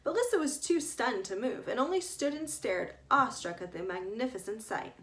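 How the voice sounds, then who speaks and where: A woman author reading her adventure story aloud as an expressive narrator. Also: fairly high pitch, normal speed.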